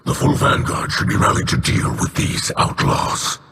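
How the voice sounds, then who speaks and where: An actor playing a grave old alien chieftain and father in a video game, with a gravelly voice. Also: moderate pace, bright, forceful tone, slight background noise, lightly acted.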